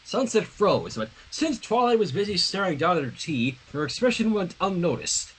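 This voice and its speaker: Highly animated, husky man reading fanfiction. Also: normal speed. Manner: theatrical, animated. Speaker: fanfiction reader on a livestream. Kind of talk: dramatic narration with joking asides.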